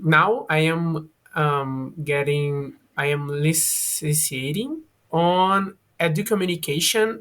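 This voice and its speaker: Guest, man, 20s, Portuguese native speaker with a Brazilian accent, slow and searching, slightly high voice. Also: fairly bright tone. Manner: hesitant, searches for English words with many fillers. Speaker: guest, education student. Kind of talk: casual podcast interview.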